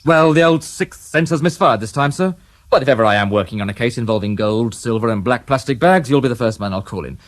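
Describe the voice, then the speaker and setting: An actor playing a sardonic, weary detective inspector in a radio drama, full of dry sarcasm. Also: male voice, medium pitch, expressive, fast talker, close to an everyday voice.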